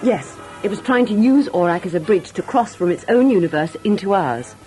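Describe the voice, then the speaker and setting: A woman's voice: medium pitch, animated, a little fast, warm tone, soft background music, close to an everyday voice, low-quality recording. A woman playing a telepathic alien crew member in a sci-fi radio skit, explaining earnestly as if reading. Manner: earnest explaining, read from script.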